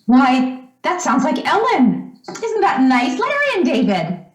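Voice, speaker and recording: A woman acts out an emotional, doting mother in an old-time radio drama. Her voice sits high and moves slowly as she gushes and fusses. The room around her rings with echo. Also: huge pitch swings, exaggerated voice. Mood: bright, chatty. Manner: gushing, fussing. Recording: echoey room.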